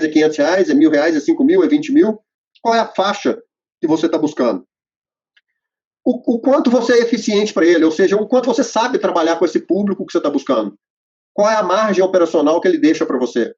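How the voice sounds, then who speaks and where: A man with a husky, rather high voice walks through a method step by step, pausing on fillers as he explains. The recording sounds muffled and lo-fi. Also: darkish tone. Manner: explanatory, enumerating steps, frequent fillers. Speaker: host presenting to accountants. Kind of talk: instructional conversation. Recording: muffled lo-fi audio.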